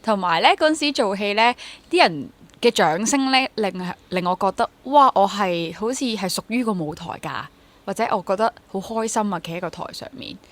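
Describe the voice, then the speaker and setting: A woman in her twenties with a Hong Kong Cantonese accent, talking candidly and self-deprecatingly as a guest in a casual interview. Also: fairly low pitch. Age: 20s. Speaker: guest, stage actor.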